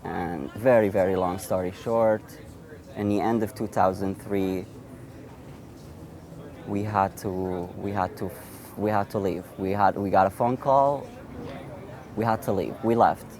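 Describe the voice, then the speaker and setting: A man in his thirties from Iraq, an Assyrian speaker, telling his own story in an interview in a halting way, with frequent restarts. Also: fairly low pitch, fairly even intonation, a little mellow. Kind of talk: personal storytelling.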